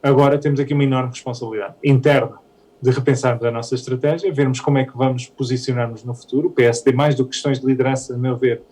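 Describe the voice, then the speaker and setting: Measured man giving election analysis. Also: mid-range voice, steady tone, darkish tone. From Canada. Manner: measured, analytical, with frequent hesitations. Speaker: politician interviewee. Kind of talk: post-election political commentary.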